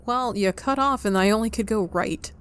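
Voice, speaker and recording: A woman's voice: a little high, moderate pace, fairly bright tone, clear, resonant tone. A woman playing through a game, reacting casually in an informal, sardonic and uneasy way. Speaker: game player reacting and voicing lines. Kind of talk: casual gaming playthrough commentary.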